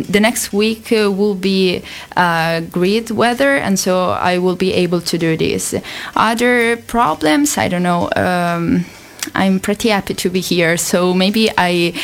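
Young Italian woman, halting English. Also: mid-range voice. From Italy. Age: young adult. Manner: halting, searching for English words. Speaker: exchange student guest.

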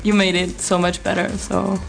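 A woman's voice: medium pitch, flat delivery, moderate pace, creaky, faint music behind, rough recording. A young woman from France, a student guest, speaking shyly and sincerely. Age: young adult. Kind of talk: casual chat.

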